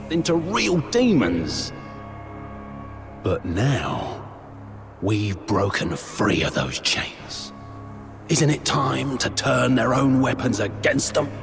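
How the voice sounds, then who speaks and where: An actor playing a vengeful, persuasive and menacing demon rebel leader in a video game, speaking in a theatrical, oratorical manner. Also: male voice, medium pitch. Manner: theatrical, oratorical, deliberate emphasis. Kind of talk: dramatic video game voice acting. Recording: music under the voice.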